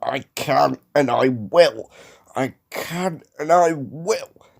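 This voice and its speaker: A man's voice: fairly high pitch, slow, vocal fry, darkish tone, voice pushed up, full character voice. A man voicing a proud, emotional and determined steam engine with a puffing, exclamatory manner for a storybook. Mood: determined effort.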